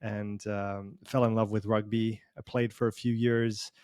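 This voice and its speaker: A man's voice: lowish voice, level tone, a little slow, darkish tone, no echo, studio quality. A man from Switzerland with a Swiss accent that carries a slight Canadian sound, telling his story as a guest in a casual online interview, rambling along with many fillers. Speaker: performance coach guest. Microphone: video call.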